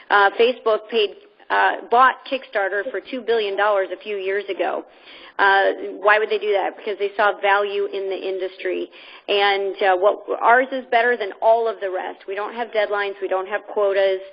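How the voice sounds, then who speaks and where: A woman hosting a conference call, giving an informal, rambling and persuasive motivational pitch over the telephone. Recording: muffled, band-limited conference phone line.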